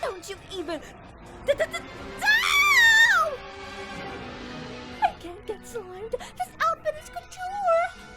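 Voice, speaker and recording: A woman's voice: extremely high pitch, huge pitch swings, takes it slowly, pauses often, shouting, music in the background, full character voice. A woman playing a vain, dramatic teenage fairy in a cartoon fandub, with a whiny, sassy manner. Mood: whiny panic.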